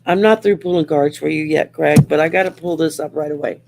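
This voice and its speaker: A middle-aged woman with a growly, gravelly voice from throat surgery, chatting casually on a livestream while pulling tarot cards, rambling and restarting often. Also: sits low, brisk, darkish tone. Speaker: host and tarot card reader. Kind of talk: casual livestream chat with card reading.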